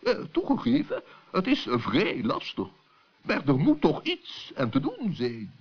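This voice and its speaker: Scatty, pedantic professor: high voice, wildly varied pitch, lo-fi children's radio drama. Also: male voice, huge pitch swings, a little slow, husky, exaggerated voice. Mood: thoughtful, then delighted. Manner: hesitant, learned, pedantic. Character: absent-minded, self-satisfied male professor, excitable and stubborn. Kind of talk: children's radio play acting. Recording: dull, lo-fi old radio recording.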